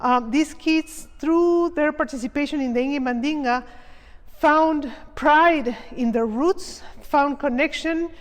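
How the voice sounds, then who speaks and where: A woman directing a theater production, explaining its aims in a measured, reflective documentary interview. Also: high-pitched. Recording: long reverb.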